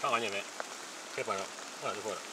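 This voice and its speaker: A man with a Norwegian accent chatting casually outdoors while fishing. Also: fairly low pitch. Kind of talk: casual chat. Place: outdoors on a grassy riverbank. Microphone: camcorder a few metres away. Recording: distant camcorder audio, faint water noise.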